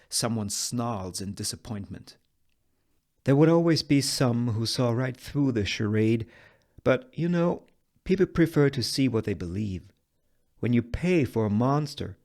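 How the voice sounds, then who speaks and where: A man reading screenplay stage directions as dramatic scripted narration, in deliberate short phrases with pauses for effect. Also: medium pitch.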